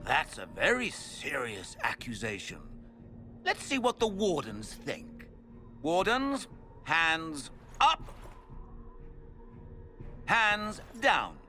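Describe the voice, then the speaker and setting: An actor playing a smug, theatrical villain, a cultist mage lord with a pompous, mocking manner in a video game cutscene. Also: male voice, a little high, huge pitch swings, extremely slow, long pauses, noisy background, heavily acted.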